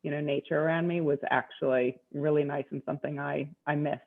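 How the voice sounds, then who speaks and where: A woman's voice: low voice, steady tone, fairly quick, vocal fry. A woman from the United States hosting a podcast interview, asking chatty questions with many fillers. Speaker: podcast host. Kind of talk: conversational interview. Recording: tinny phone-like line.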